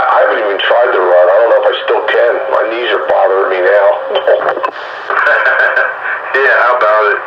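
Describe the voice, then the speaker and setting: A man in casual, teasing group banter, heard over a radio link. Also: on the low side. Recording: muffled, very noisy radio transmission.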